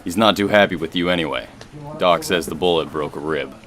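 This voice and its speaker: An easygoing federal agent, played by a man, talking shop in a radio drama. The voice is very deep and businesslike, with a little music beneath. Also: fairly quick, fairly bright tone. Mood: casual, approving. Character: male FBI colleague, easygoing and businesslike. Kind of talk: scripted radio drama dialogue.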